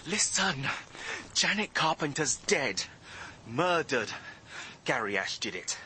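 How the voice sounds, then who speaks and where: An actor playing an urgent, protective rescuer pleading in a radio drama. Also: male voice, a little high, sing-song, slow pace. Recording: clear background noise.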